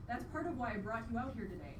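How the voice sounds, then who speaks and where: A woman's voice: fairly high pitch, sing-song, fast, background noise. A woman playing an earnest, determined mother in a live radio play. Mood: earnest, grave. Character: earnest, determined mother on a bog outing with child. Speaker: stage actor. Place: live theatre performance in a venue. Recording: roomy distant live stage recording, loud music.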